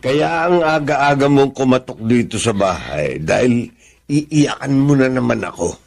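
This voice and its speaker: An actor with a Filipino accent playing an exasperated, dismissive confidant in a radio drama, weary and scolding. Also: male voice, mid-range voice, expressive, a little slow, vocal fry, darkish tone.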